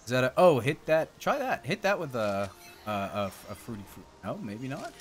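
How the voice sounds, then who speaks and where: A man co-hosts a game stream with casual, playful banter. His pitch is mid-range and jumps around in animated bursts and exclamations. Light game music plays behind him. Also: moderate pace. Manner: playful, exclaiming, uses silly nicknames. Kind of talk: casual gameplay banter and commentary.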